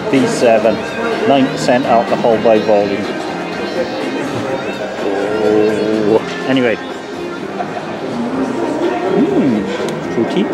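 A man casually grading beers aloud as he tastes them, his voice gone croaky, at a busy indoor beer festival. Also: on the low side, expressive, slow pace, long pauses. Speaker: beer taster reviewing brews. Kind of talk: casual spoken beer tasting notes. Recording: loud music and crowd behind.

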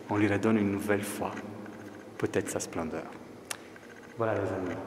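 A middle-aged man musing reflectively to his viewers in a casual art vlog, repeating phrases as he goes. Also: on the low side. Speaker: art vlogger and artist. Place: large empty stone library hall, indoors. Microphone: handheld camera at arm's length. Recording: very echoey large hall, background noise.

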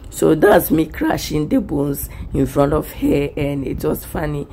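A young woman talks to a phone held at arm's length, her voice low, hoarse and gravelly. She chats casually and laughs often, with faint noise around her. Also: animated, unhurried, warm tone. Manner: chatty, laughs often, acts out friends' lines. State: hoarse, lost voice. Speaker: vlogger. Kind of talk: casual vlog chat. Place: hair salon, indoors.

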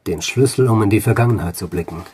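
An actor playing an educated doctor who recounts a patient's case in a radio drama, formal, deliberate and precise. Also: male voice, fairly low pitch, close to an everyday voice. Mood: measured, correcting. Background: music.

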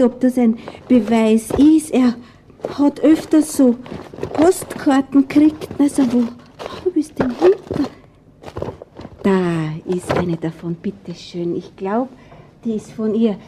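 An actress with an Austrian accent playing a nervous, self-righteous informant in a radio crime drama, hesitant and self-important. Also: female voice, a little high, slow pace, mellow, slight background noise. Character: nervous, righteous female informant, dutiful and vindictive.

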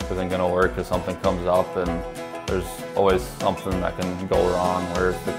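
A man's voice: low voice, completely flat delivery, fairly quick. A young man with an American Upper Midwest accent, speaking casually in an interview in a matter-of-fact, understated way. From rural southern Minnesota, USA. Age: young adult. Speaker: college hockey player. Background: guitar music bed.

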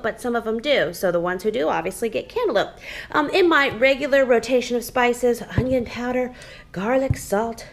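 A woman's voice: a little high, creaky. A woman in her thirties, a home vlogger, chatting casually and rambling with lots of fillers while showing her groceries in her dining room. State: pregnant.

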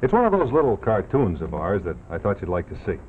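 An American man in his thirties giving a folksy, emphatic 1950s television sponsor pitch as an announcer. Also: wildly swooping pitch, quick pace. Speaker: commercial announcer. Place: television studio set. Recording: tinny 1950s TV soundtrack, faint noise.

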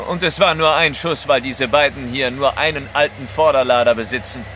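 An actor playing a serious, assured and blunt travelling guide in an audio drama. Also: male voice, mid-range voice, a little fast, pushed and bright, a little hiss or hum, close to an everyday voice. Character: grave, watchful male guide, reassuring yet blunt. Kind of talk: German radio play acting.

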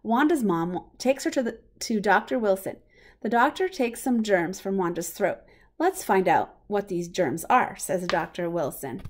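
A woman reading a picture book aloud for young children in a simple, expressive way. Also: medium pitch, a little slow. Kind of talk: children's storybook read-aloud.

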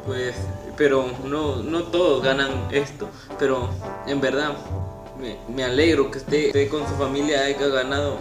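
A man's voice: medium pitch, flat delivery, a little slow, over music. Teenage male in an echoey room. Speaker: YouTuber making a prank call. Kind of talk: improvised prank phone call, posing as a prize agent. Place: small room at home, indoors. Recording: roomy echo.

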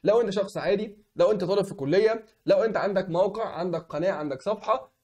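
A young Egyptian man with an Egyptian Arabic accent and a voice hoarse from a cold walks through a website in a screen-recorded tutorial. He keeps checking in with the viewer, asking "okay?". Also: high voice, quick pace, a little mellow. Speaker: online tutorial host.

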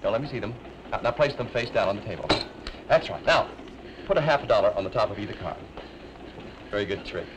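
An actor playing an eager, flustered young traveler. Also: male voice, lowish voice, lively intonation, fast talker, long pauses, close to an everyday voice. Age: young adult. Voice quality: earnest, flustered, stammering. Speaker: traveler doing card tricks. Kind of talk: 1940s film acting. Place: studio set of a train club car. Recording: hissy old film soundtrack.

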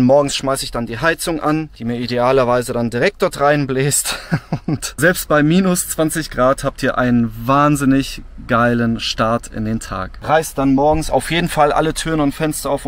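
Casual, enthusiastic man vlogging. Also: medium pitch. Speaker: vlogger, host. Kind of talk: casual vlog explanation to camera. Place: in and around a camper van. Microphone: handheld camera at arm's length.

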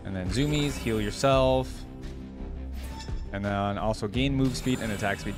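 A man's voice: on the low side, unhurried. A young man giving casual reaction commentary into a close desk mic, often reading text aloud. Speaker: reaction video host. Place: indoor gaming setup. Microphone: desk microphone close to the speaker. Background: game video music.

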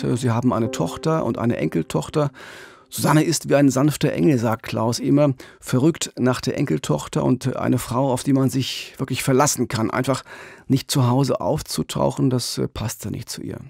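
A man's voice: mid-range voice, fairly even intonation, moderate pace, gravelly, a little mellow, breathy edge, no echo. A middle-aged man hosting a true-crime podcast, telling the story conversationally into a studio microphone. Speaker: podcast host. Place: radio studio. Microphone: studio microphone up close with pop filter.

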